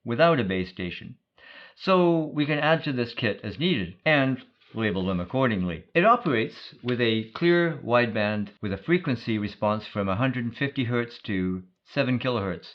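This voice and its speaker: A man gives an explanatory product review at a medium pitch. He speaks in a measured, matter-of-fact manner, musing as he goes. The recording is a little dull and muffled.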